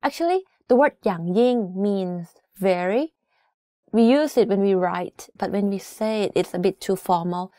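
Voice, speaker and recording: A woman teaching phrases in a language lesson, with clear and emphatic explanations. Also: mid-range voice, lively intonation, fast talker, dark tone, no echo, clean studio sound. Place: studio with plain backdrop.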